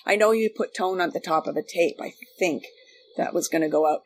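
A middle-aged woman, a guest reminiscing casually, explaining things in animated, repeating loops. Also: lowish voice, a little fast. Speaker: guest, former radio studio technician.

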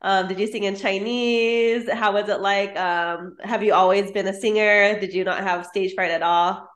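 Woman host firing off questions, mid pitch, echoey room. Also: steady tone, normal speed. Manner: eager, fires off questions in bursts. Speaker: podcast host. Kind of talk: casual interview chat.

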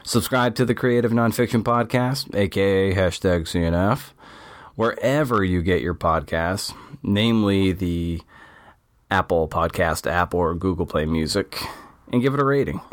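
Very deep-voiced man hosting a podcast. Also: a little slow, dry recording, clean studio sound. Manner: breezy and chatty, with asides to listeners. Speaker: podcast host. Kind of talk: scripted show intro. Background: faint music.

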